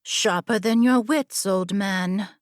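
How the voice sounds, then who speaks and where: A woman's voice: mid-range voice, takes it slowly, dry recording, studio quality, natural voice. A woman voicing a proud, haughty teenage noblewoman warrior with formal, clipped, imperious speech in an audiobook story. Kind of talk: audiobook character dialogue.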